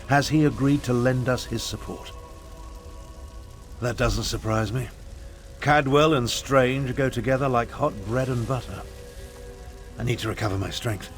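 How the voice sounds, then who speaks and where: Proud elderly wizard,, game role: mid-pitched, pompous, dry and sardonic, world-weary, light music behind. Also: male voice, vocal fry. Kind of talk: video game character dialogue.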